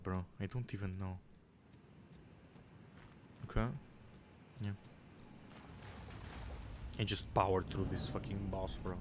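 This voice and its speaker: A man's voice: on the low side, slight background noise. A young man from Romania, chatting casually as a gaming streamer, rambling, swearing and saying "bro" a lot.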